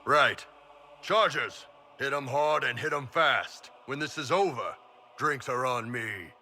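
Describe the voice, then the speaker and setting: An actor playing a gruff, easygoing horned giant mercenary captain in a fantasy video game, blunt and folksy, with a deep, husky voice. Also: male voice, slow pace, sharp tone, a little hiss or hum, exaggerated voice. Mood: commanding.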